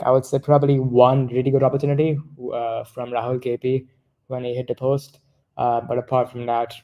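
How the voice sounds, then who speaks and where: Flat-toned man rambling about football. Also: medium pitch. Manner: rambling, self-correcting mid-sentence. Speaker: football podcast co-host. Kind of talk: casual football discussion.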